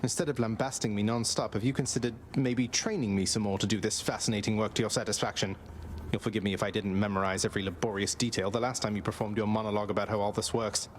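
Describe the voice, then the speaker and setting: A man's voice: lowish voice, fairly even intonation, quick pace, slight background noise, natural voice. A man voicing a sarcastic, defiant young prince in a video game. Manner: sarcastic, dry, exasperated. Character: defiant young male prince, sarcastic, weary of his father.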